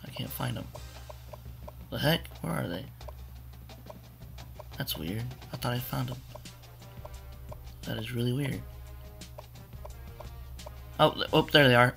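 A young man with a hoarse, cracking voice, rambling casually through a video game walkthrough with many fillers. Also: medium pitch, sharp tone. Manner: rambling, repeats "you wanna" with fillers. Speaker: gaming tutorial maker. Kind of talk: casual screen-capture walkthrough.

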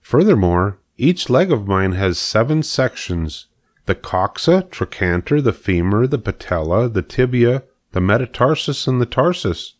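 A man's voice: mid-range voice, big pitch swings, measured pace, voice pushed up. A man voicing a wise, kindly female spider in a storybook read-aloud, patient and explaining.

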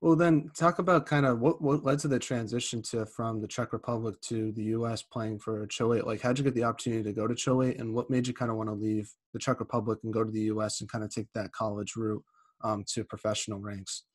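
Young guy hosting a video-call podcast, rambling questions, quick delivery, laptop mic. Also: lowish voice, level tone. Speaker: podcast host. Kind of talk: casual video-call interview. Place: small indoor room. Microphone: laptop webcam on video call.

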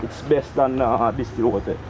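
A middle-aged man working as a tour guide and driver, talking in a helpful, halting way with simple phrasing. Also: medium pitch, over a noisy crowd. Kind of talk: casual chat. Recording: loud background noise.

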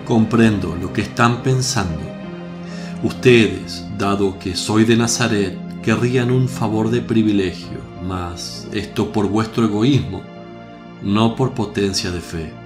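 Solemn, declamatory holy preacher for radio drama; unhurried delivery over prominent music. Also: male voice, lowish voice. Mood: firm reproach.

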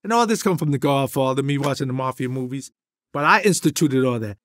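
A man's voice: mid-range voice, lively intonation, fast talker. A middle-aged man with a New York accent, an interview guest telling street stories emphatically, full of slang. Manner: streetwise, emphatic, heavy slang, gestures while talking. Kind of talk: animated street storytelling. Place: indoor studio with a drum kit.

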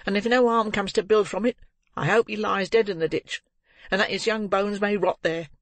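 A man's voice: sits high, steady tone, moderate pace, a bit bright, no room sound, voice pushed up. A man voicing a bitter, defiant young woman of the criminal underworld in a storybook reading, speaking emphatically. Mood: bitter, anguished.

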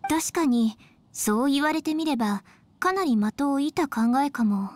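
Refined, high-voiced young lady. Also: animated, unhurried, no room sound. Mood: considerate, calm. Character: refined, polite, composed young woman traveller, considerate. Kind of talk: anime game dialogue.

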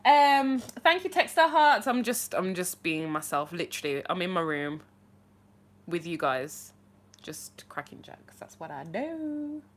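A young woman chatting casually to viewers on a live stream from her bedroom, bubbly and animated, with her phone held at arm's length. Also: on the low side, measured pace, slight background noise. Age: young adult. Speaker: livestream host answering viewer questions. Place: small bedroom indoors.

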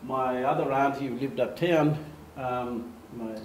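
Older man, animated storyteller, echoey room, faint background hum. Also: mid-range voice, measured pace. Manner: animated, gestures, acts out quoted voices. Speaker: speaker giving a slide talk. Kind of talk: storytelling presentation. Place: meeting room with projector screen, at a lectern. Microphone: far camera.

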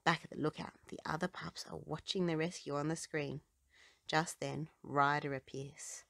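A woman reading a children's storybook aloud with expressive, dramatic phrasing. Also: deep voice, normal speed, gravelly, no room sound, studio quality. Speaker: children's book reader.

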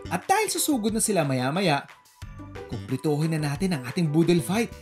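A woman's voice: low voice, sing-song, fairly quick. A woman with a Filipino accent reading a scripted voice-over narration for a show. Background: light background music.

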